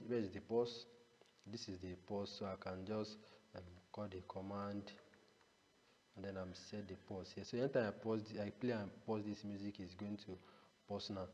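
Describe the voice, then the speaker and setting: A man's voice: on the low side, mellow, lo-fi sound. A man giving an unscripted step-by-step screencast tutorial as an instructor, often repeating himself and punctuating explanations with a rhetorical "what". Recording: echoey reverberant room, noisy background.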